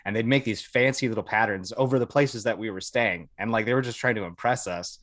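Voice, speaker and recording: Man, American accent, lower-pitched, informal storyteller, casual podcast guest, very dry sound. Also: quick pace, fairly bright tone, no room sound. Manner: informal, swears casually, quotes dialogue. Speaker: podcast guest, Air Force veteran.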